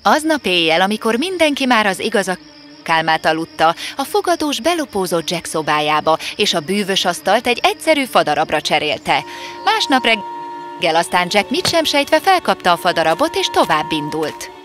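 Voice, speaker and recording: Female fairy-tale narrator, animated pitch, expressive storytelling, with music clearly under the voice.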